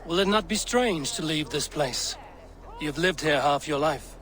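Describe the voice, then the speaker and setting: A man's voice: fairly high pitch, animated, unhurried, a bit bright. A man playing a cool, determined Norse warrior in a video game, outdoor noise behind. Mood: reflective. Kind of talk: dramatic video game dialogue.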